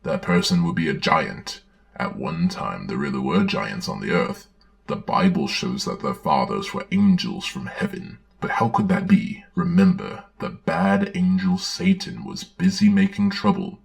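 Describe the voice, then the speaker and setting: Man, very low gravelly voice, swooping intonation, dramatic reader, sardonic asides. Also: big pitch swings, unhurried. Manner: theatrical, wry, sardonic. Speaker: host, storyteller. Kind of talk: dramatic reading with sarcastic commentary.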